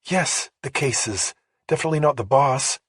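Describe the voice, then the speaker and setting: Guy doing a young law student woman, cynical, voice lifted and raspy, audiobook dialogue. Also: medium pitch, normal speed, dry recording, clean studio sound, pitched above the performer's own voice, lightly acted. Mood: wry.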